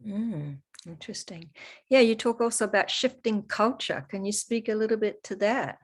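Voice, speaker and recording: A woman's voice: medium pitch, normal speed. New Zealand woman hosting an interview. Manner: laughs along, short encouraging replies. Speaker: host, coach. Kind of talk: interview questions.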